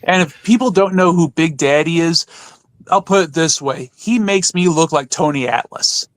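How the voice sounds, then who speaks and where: A man's voice: fairly high pitch, moderate pace, no room sound. A man in a casual, chatty podcast conversation, telling anecdotes as a co-host. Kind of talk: casual chat about wrestling.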